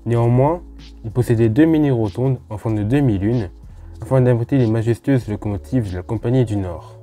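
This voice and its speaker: Very dark-voiced French man explaining history. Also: mid-range voice, brisk, soft background music. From France. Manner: chatty, with informal asides to the viewer. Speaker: host of a railway history channel. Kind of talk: casual explanatory narration.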